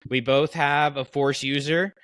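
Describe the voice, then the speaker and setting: A man's voice: fairly high pitch, steady tone, measured pace, fairly bright tone, no echo. A man hosting a casual fan podcast, talking through his choices in a conversational way with frequent asides. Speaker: podcast host.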